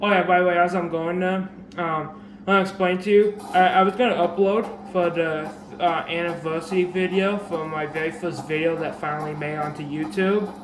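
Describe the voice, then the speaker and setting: Raspy man rambling over gameplay. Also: on the high side, fairly even intonation, moderate pace. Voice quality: slightly raspy. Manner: rambling, many fillers and restarts. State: sore, dry throat. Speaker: gaming video creator. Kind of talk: casual game tutorial commentary. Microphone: camera mic. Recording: echoey room with background noise.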